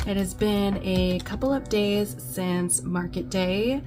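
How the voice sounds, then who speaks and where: A woman's voice: mid-range voice, slow. A young woman vlogging casually and upbeat about her craft sales, with lots of ums. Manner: chatty, upbeat, many ums. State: losing her voice from a cold. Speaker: crochet vlogger. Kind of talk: casual vlog chat. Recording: clear background music.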